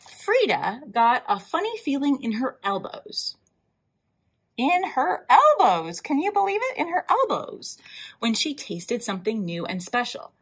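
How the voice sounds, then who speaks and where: A woman hosting a library storytime, reading a picture book aloud in an animated way, with emphatic drawn-out words and asides to young listeners. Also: sits high, breathy edge. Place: indoors, in front of a wall with floral decals.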